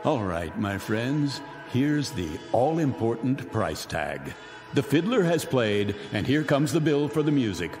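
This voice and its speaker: A man's voice: mid-range voice, sing-song, measured pace, darkish tone, big-room sound, soft background music. An actor playing a scornful man who taunts his captives in a radio drama, with a mocking, theatrical manner. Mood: commanding.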